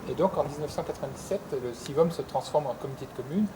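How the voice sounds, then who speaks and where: A male interviewer with a mid-pitched voice talks quickly as he sums up and prompts. Clear background noise surrounds him. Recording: clearly noisy ambience.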